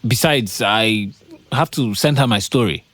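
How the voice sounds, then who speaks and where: An actor with a Nigerian accent playing a lovesick, self-doubting young copywriter in a conversational, hesitant audio drama style. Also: male voice, lowish voice, a little slow, a bit bright, no echo. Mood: defensive, lovesick. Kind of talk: naturalistic audio drama acting.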